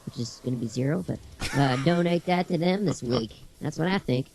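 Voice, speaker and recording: A man playing a laid-back, mild podcast sidekick through a pitch shifter, bantering casually in improvised comedy. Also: fairly quick, a little mellow, a little hiss or hum. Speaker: podcast co-host.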